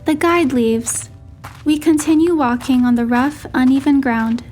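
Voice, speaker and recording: A young heroine narrating a romance game story, voiced by a woman. Her voice is fairly high, reading scripted first-person narration over soft music. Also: fairly even intonation, a little slow. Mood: gentle storytelling.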